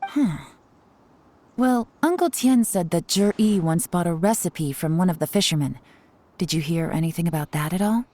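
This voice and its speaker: A woman voicing a composed, shrewd intelligence agent in a video game, measured and calculating as she directs others. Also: on the low side, expressive, mellow. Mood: probing, wry. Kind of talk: scripted game dialogue.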